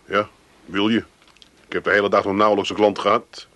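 A man's voice: on the low side, little pitch movement, a little fast, a bit bright, dry recording, close to an everyday voice. A man acting a wry, down-to-earth son. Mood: wry, relaxed. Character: down-to-earth, wry grown son in an Amsterdam family. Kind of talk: 1980s comedy radio play acting.

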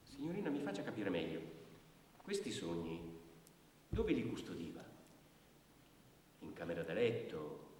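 An actor playing a pedantic, plodding police brigadier taking down a report in a theatrical, bureaucratic manner. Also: male voice, sits low, sing-song, a little mellow. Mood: patient, literal. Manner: officious, pedantic, literal-minded, slow dictation voice when recording statements. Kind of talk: theatrical stage acting. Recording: very echoey hall from far off, noisy.

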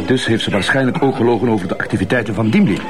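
A man's voice: on the low side, rapid-fire, close to an everyday voice. A man playing a hesitant young detective. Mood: earnest. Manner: hesitant, polite. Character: earnest young male police detective. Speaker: junior police officer.

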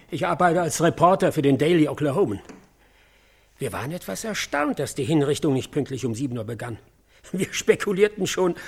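Hard-nosed male reporter, rough creaky voice, slightly high pitch, clinical emphatic testimony, radio play. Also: heavily acted. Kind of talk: radio play monologue styled as witness statement.

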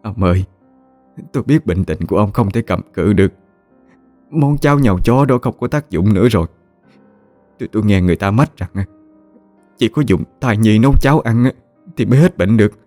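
A man voicing a frightened old wife who begs her husband in a high, stammering, strained voice. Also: animated, brisk, pauses often, dark tone, no echo, soft background music, natural voice. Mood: pleading.

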